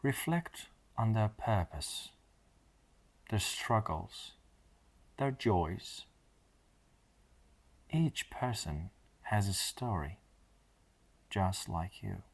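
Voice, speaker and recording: A man leading a scripted guided meditation in a soothing, measured manner, speaking in short phrases with long pauses. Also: fairly low pitch, lively intonation, creaky, slightly rough.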